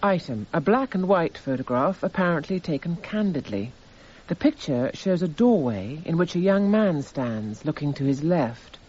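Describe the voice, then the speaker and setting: Detached narrator, narrow phone-like sound. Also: male voice, a little high, lively intonation, normal speed, a little mellow, breathy edge. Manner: precise, detached, descriptive. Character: detached male court narrator cataloguing evidence. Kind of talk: scripted radio drama narration. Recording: thin, phone-like narrow band.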